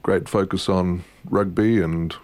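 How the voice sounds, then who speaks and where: A man being interviewed as a guest musician, telling relaxed stories with frequent pauses and fillers like "um" and "look". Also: low-pitched, dry recording. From born in London, raised in Germany and Australia. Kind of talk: casual interview conversation.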